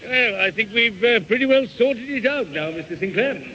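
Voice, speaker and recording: A man's voice: extremely high pitch, lively intonation, normal speed, sharp tone, full character voice. A man playing an agreeable police inspector in an old-time radio comedy. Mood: affable. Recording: muffled old radio recording with noise.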